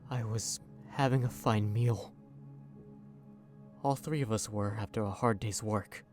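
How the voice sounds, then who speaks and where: A man playing a drained, haunted victim of an enchantment in an audio drama, halting and subdued. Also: on the low side, a little mellow, soft background music, lightly acted.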